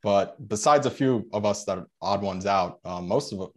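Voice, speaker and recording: A man in his twenties from the Chicago suburbs, whose first language is Polish, telling his story as a podcast guest in a relaxed way with frequent fillers. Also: lowish voice, moderate pace. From Chicago suburbs, USA. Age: 20s. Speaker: guest, veteran and real estate investor. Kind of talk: podcast interview.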